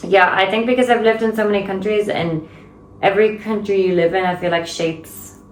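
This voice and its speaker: A woman's voice: medium pitch, fairly even intonation, brisk. A young Irish woman co-hosting a podcast, chatting casually and laughing often. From Ireland. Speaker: podcast co-host. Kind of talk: casual chat. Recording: roomy with faint background hiss.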